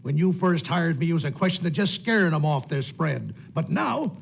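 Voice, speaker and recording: A jittery outlaw henchman from a 1940s radio western. The voice is gravelly and rough, sitting a little high, and talks tough with a cowardly edge. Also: animated, moderate pace, full character voice, poor audio. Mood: agitated. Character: nervous, grumbling outlaw henchman, tough-talking but cowardly. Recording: old 1940s radio recording.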